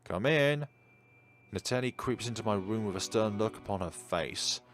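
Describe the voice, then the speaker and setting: A man's voice: lowish voice, a little fast. A man reading a horror visual novel aloud in a dramatic narrating style, as a let's player.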